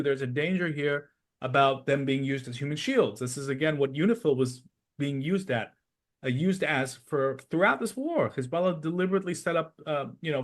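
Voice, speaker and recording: A man with a slightly high-pitched voice works through political analysis as a podcast host. He explains step by step and leans on key points for emphasis.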